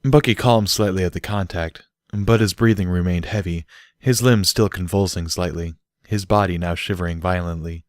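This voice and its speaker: A man narrates a story in a low voice, recorded dry and close. He reads scripted prose as an audiobook reader. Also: moderate pace, darkish tone, no room sound.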